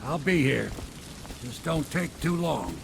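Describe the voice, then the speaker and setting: A man playing a weathered, kindly working-class boatman in a video game, plain-spoken and unhurried. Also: fairly high pitch, animated, creaky, noisy background, heavily acted. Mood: welcoming. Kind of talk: video game character dialogue. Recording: loud music behind the voice.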